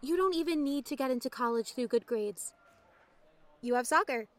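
Outspoken high school best friend played by a woman, high-pitched, indignant, conversational audio drama delivery. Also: animated, moderate pace. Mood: reassuring. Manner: casual, griping, emphatic. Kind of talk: naturalistic audio drama acting.

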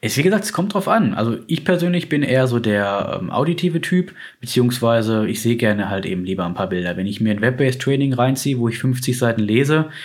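A man's voice: medium pitch, lively intonation, brisk, few pauses. A man talking casually and with enthusiasm about his work as a finance advisor, with plenty of fillers. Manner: chatty, enthusiastic, uses lots of fillers. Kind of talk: casual conversation.

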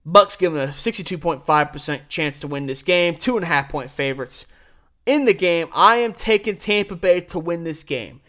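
A man's voice: on the high side, lively intonation, moderate pace, pushed and bright. A man hosting a live sports show, giving opinionated, conversational commentary on game picks and punching key words.

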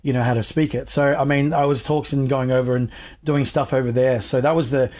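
A man's voice: medium pitch, steady tone, a little fast, darkish tone. A man chatting casually as a guest, laid-back and rambling, with frequent fillers and restarts. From Australia. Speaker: guest, wrestler. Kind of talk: casual video-call chat.

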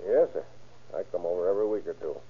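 An American actor playing a straightforward, wary but kindly boat captain in an old radio crime drama. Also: male voice, sits low, lively intonation, fast talker, husky. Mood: matter-of-fact. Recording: tinny, noisy old radio recording.

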